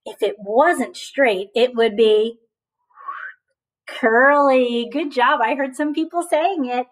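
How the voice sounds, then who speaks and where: A woman reading a picture book aloud as a teacher of young children, animated and encouraging, often asking questions and pausing for answers. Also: high-pitched, rough recording.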